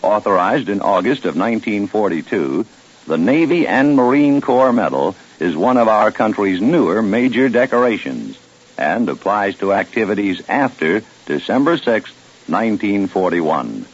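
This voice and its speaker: A man's voice: on the low side, sing-song, moderate pace, breathy edge. A man with an American accent reading a formal scripted public service announcement on old-time radio. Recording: muffled, narrow old radio recording.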